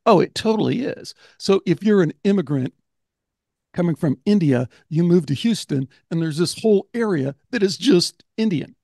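A man's voice: sits high, moderate pace, creaky, no echo. A man in his sixties, a podcast host, chatting animatedly on a video call into a studio mic. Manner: animated storyteller, quotes others in voices. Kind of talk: casual conversation. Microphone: large studio mic on arm.

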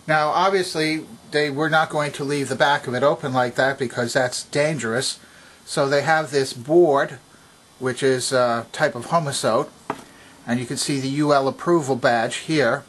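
A man at a workbench giving a calm, methodical hands-on demonstration of an old stereo system, speaking from behind the camera. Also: medium pitch, slight background noise. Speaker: vintage electronics restorer.

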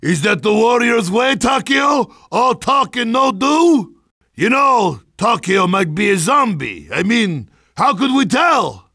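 A boozy, sardonic Russian soldier for a video game. His voice sits high and swings widely, with a forceful edge. He blusters and mocks in thick Russian-accented broken English, dropping his articles. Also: very animated, slow, gravelly, dry recording, studio quality, full character voice. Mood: sardonic, scornful. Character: hard-drinking boisterous Russian soldier, gruff and sardonic. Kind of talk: video game character barks.

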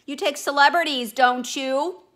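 A woman voicing a bold, insistent male boatman in a storybook reading, his lines loud and emphatic. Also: high-pitched, measured pace, pitched above the performer's own voice.

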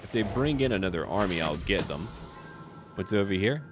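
Low-voiced man commentating a strategy game. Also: animated, a little fast. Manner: muses aloud in short clipped remarks. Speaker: gaming YouTuber. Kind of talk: casual game commentary with light role-play. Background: background music.

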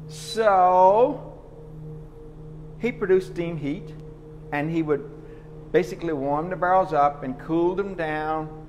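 A middle-aged man giving a folksy, question-and-answer tour of a barrel warehouse on a live stream, filmed on a phone. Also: on the high side. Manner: folksy, explanatory, asks questions and answers them. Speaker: distillery tour guide. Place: large indoor barrel warehouse and walk-in cooler. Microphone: phone camera held by someone walking with him. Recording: long reverb, faint noise in a big warehouse.

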